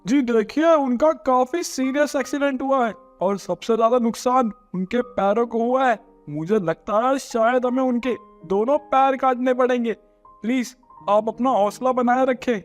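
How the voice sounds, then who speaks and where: Cartoon townsman played by a man: courteous, expressive, extremely high male pitch, faint musical bed. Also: moderate pace, faint music behind. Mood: grave. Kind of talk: Hindi cartoon story dubbing.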